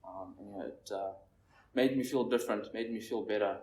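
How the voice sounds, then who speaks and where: A nervous young man in his twenties from Zimbabwe, sharing his recovery story at a meeting in a halting, rambling way. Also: fairly low pitch, a little mellow. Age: 20s. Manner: halting, rambling, heavy on fillers. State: nervous, shaky. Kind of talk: personal recovery share. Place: meeting room with an audience. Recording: echoey room, distant mic, faint noise.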